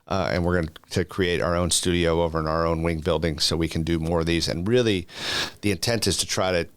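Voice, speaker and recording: Low-voiced middle-aged man chatting. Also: steady tone, fairly quick, a bit bright, clean tone, dry recording. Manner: friendly, chatty, laughs easily. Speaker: podcast host, commander. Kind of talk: casual chat. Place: hot chapel room.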